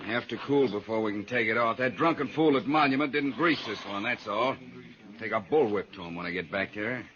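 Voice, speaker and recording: An actor playing a brusque, short-tempered stagecoach driver, gruff and sarcastic, in 1950s radio western style. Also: male voice, on the low side, brisk, fairly bright tone, natural voice. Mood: irritated. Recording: dull vintage 1950s radio recording.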